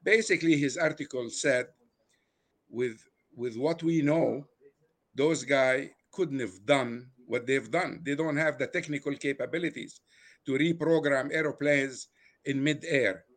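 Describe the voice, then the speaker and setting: A man's voice: medium pitch, a little slow. An older man from Lebanon whose first language is Arabic, speaking English with an Arabic accent, telling a personal story with many fillers and restarts. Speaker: guest.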